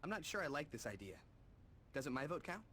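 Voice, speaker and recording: An actor playing an insecure young American sidekick in a game cutscene, wry, wordy and jokey. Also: male voice, on the high side, big pitch swings, fairly quick, pushed and bright, a little hiss or hum. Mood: wry objection. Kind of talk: video game cutscene acting.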